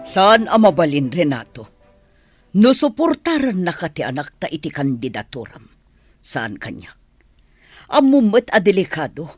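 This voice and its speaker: Radio drama role: stubborn, fretful middle-aged wife, animated intonation, close dry sound. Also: female voice, mid-range voice, no echo. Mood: worried, upset. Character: worried, stubborn mother opposing her husband's political ambition.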